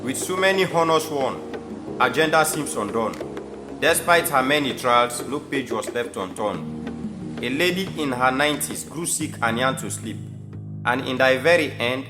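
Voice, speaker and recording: A young man reads a scripted biographical narration for an audio drama in a measured, formal storytelling way. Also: medium pitch, expressive. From Sierra Leone. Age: young adult. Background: music.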